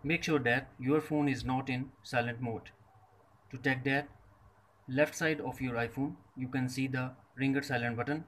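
A man's voice: medium pitch, fairly even intonation, moderate pace, a bit bright. A man reading a scripted step-by-step tech tutorial in short, separated instructional phrases. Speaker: tutorial presenter.

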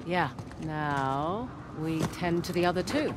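A woman acting as a wry, quick-witted treasure hunter in a video game cutscene, speaking casually over music. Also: sits low, lively intonation, noisy background. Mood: wry, curious. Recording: loud game music behind the voice. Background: loud music.

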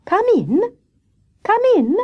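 A woman voicing a kindly little female rabbit in a children's story, with a gentle, gushing manner. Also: fairly low pitch, wildly swooping pitch, measured pace, slightly rough, no echo, lo-fi sound, heavily acted. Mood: welcoming.

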